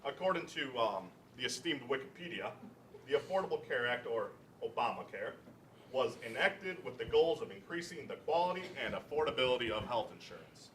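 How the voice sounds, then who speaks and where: A man's voice: medium pitch, fairly bright tone. A young man reading scripted narration aloud as a narrator at a staged reading. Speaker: narrator of a readers theater event. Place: indoor college event space. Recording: echoey, noisy room, distant mic.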